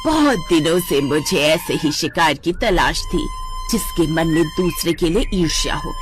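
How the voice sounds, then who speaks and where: A woman voicing a cunning, menacing witch who gloats over her prey in a storybook tale. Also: lowish voice. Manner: menacing, drawn-out gloating with cackles.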